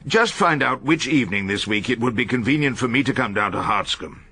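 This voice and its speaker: A man's voice: medium pitch, expressive, fairly quick, bright, forceful tone, slightly rough, heavily acted. An actor playing an irascible, witty elderly English barrister in a radio drama, pompous and ornate in his phrasing as he talks and narrates. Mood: brisk. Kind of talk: radio drama acting and wry first-person narration.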